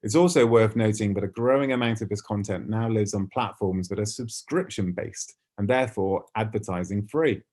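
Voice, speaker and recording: A man with a British accent and a fairly low voice delivers a prepared online business presentation. He speaks in a polished, articulate corporate way, as a conference speaker addressing professionals. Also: normal speed, few pauses, a little mellow. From United Kingdom. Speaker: conference speaker, ad tech company representative.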